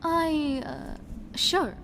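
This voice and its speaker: A woman's voice: high-pitched, very slow, fairly bright tone, heavily acted. A woman playing a vain, fashion-loving young socialite in an audio drama, with an affected, haughty, teasing manner. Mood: flustered. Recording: faint background noise.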